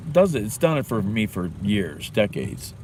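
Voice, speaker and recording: A man vlogging in casual chat, rambling and halting, who swears offhandedly and sounds stuffed up with a sore throat. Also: lowish voice, big pitch swings. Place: outdoors in a city. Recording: noisy outdoor city recording.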